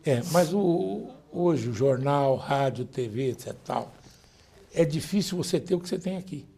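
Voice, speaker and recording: An older Brazilian man talking in a studio interview, his voice husky and mellow. His pitch moves a lot as he makes his points with conversational emphasis. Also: expressive, normal speed. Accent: Brazilian Portuguese. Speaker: veteran journalist guest.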